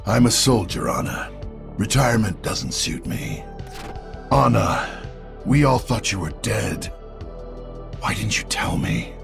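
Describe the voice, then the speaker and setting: An actor playing a stubborn, world-weary old soldier in a video game, gruff and terse with a gravelly voice. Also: male voice, lowish voice, lively intonation, takes it slowly, pauses often, music in the background, full character voice. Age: older.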